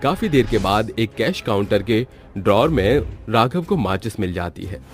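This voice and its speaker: A man's voice: fairly low pitch, expressive, normal speed. A man reading scripted horror story narration as the narrator. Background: music.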